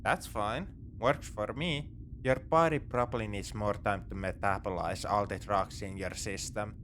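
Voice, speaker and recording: A man's voice: lowish voice, a little slow, a bit bright, slight background noise. A man playing a wry, morally grey space pirate in a sci-fi ASMR roleplay, with a conversational, nonchalant, dryly teasing delivery. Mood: dry, easygoing. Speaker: audio roleplay performer.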